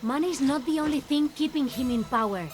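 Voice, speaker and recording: A woman's voice: high-pitched, fairly quick, natural voice. A woman voicing a bold, witty young swordswoman hero in a video game. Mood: indignant, earnest. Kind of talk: animated video game acting. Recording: music behind the voice.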